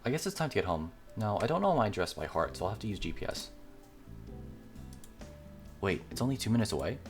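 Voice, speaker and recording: Male gamer reading visual novel narration aloud, lowish pitch, expressive intonation, faint music underneath. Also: fast. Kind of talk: read-aloud narration of a game script with light character voicing.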